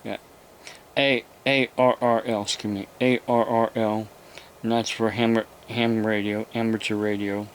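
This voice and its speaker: American guy rambling slow on his hobby vlog, lots of long pauses, noisy recording. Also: on the low side, level tone. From United States. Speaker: YouTube radio hobby host. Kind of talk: unscripted, informal vlog talk.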